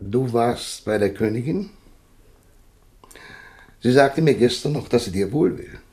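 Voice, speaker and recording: A man's voice: mid-range voice, animated, unhurried, darkish tone. An actor playing an ambitious nobleman and husband in a 1970s radio drama, wry and commanding.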